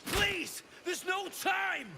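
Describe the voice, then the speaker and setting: A man playing a desperate, panicked adventurer in a game, with urgent, pleading shouts. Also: very high-pitched, extremely slow, creaky, very noisy, exaggerated voice. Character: desperate, panicked male adventurer fleeing goblins. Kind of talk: scripted game battle acting.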